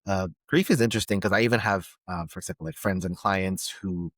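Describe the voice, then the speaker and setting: A man from Palestine, a guest on a podcast, speaking thoughtfully and pausing often to search for words. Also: deep voice, fairly bright tone, no echo, studio quality. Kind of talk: reflective conversation.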